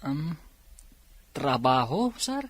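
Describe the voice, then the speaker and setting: An actor playing a dutiful, hardworking village son and older brother in a radio drama, speaking earnestly and respectfully. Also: male voice, medium pitch, sing-song, drawn-out words, husky, no room sound, heavily acted.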